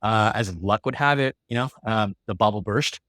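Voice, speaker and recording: A man's voice: lowish voice, normal speed, a bit bright, dry recording, studio quality. A man in his forties with an American accent, telling his life story as a podcast guest, casual and rambling with many fillers. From California.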